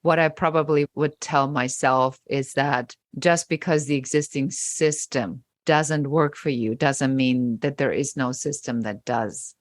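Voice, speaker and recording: A woman's voice: extremely low pitch, fairly even intonation, unhurried, hardly any pauses, dry recording, clean studio sound. A woman with a German accent, a guest on a podcast interview, speaking emphatically and stacking points in rising lists.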